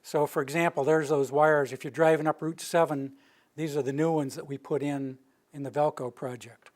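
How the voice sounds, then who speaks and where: An older man from the Rocky Mountain region gives an informal presentation to a roomful of listeners. His delivery is steady and close to monotone, and his voice sits slightly higher than most men's. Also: moderate pace. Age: older, near retirement. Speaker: utility planning director giving a public presentation. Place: meeting room with a live audience.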